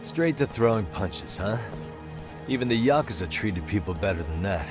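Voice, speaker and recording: An actor playing a cool-headed, wry private detective in a game dub, measured and dry. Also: male voice, fairly low pitch, lively intonation, close to an everyday voice. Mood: dry, unimpressed. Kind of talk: dubbed video game cutscene acting. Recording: music behind the voice.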